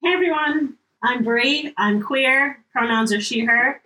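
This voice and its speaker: A woman's voice: high voice, a little slow, bright, forceful tone. A woman in her late twenties co-hosting a casual group podcast with a playful, teasing manner. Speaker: podcast co-host. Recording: roomy echo, clear background noise.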